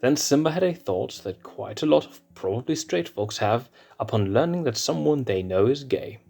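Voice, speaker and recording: A man narrating a fan-written story in a steady, even read-aloud voice. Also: medium pitch, normal speed, gravelly, faint music behind. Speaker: fanfiction reader.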